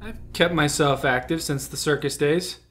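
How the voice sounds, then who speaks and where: A man's voice: a little high, normal speed, a bit bright, faint music behind, close to an everyday voice. A man acting an earnest, wary young hero in a fan audio drama. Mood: probing.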